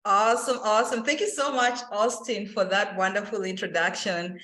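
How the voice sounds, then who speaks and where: Energetic woman in an echoey room. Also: mid-range voice, moderate pace. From Kenya. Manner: energetic, speeds up through lists, many fillers. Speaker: financial educator and webinar presenter. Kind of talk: casual enthusiastic presentation. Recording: roomy echo.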